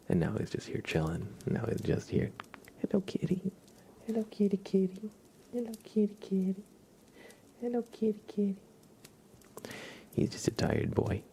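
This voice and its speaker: Hushed, deep-voiced man doing ASMR. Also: creaky, a little hiss or hum. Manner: gentle, hushed, drifting into whispers. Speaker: livestream host. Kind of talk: soft-spoken ASMR livestream chat, often whispered.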